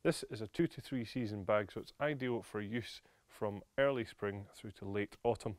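A man's voice: lowish voice, moderate pace, no room sound. A man presenting a product demonstration in a studio, explaining features as he handles it. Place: indoor studio set with camping display.

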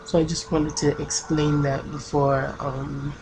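A woman doing casual game commentary, keeping her voice hushed at night with bursts of excitement. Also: low-pitched, unhurried, noisy background. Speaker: let's play gamer. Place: home at night.

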